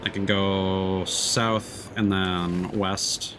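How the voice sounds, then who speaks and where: A man's voice: deep voice, little pitch movement, extremely slow. A man livestreaming a video game with casual commentary, often muttering asides to himself as he plays. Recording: game music behind the voice. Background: loud video game music.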